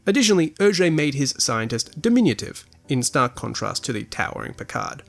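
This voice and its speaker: A man's voice: medium pitch, very animated, brisk, creaky, soft background music. A man hosting a podcast, reading a scripted review in an articulate, essay-like way with a dry touch. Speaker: podcast host.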